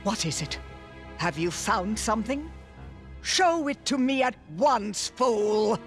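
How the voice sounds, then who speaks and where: A woman's voice: on the high side, lively intonation, slow. A woman voicing an imperious, scornful evil sorceress in a game, haughty, formal and commanding. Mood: imperious, impatient. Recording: music behind the voice.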